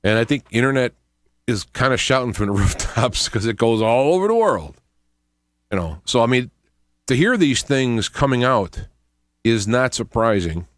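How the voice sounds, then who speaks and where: A middle-aged to older man hosting a radio show, thinking aloud in a halting, unscripted monologue with repeated words. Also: medium pitch, expressive, dry recording, clean studio sound. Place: small indoor home studio. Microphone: close studio microphone with headphones.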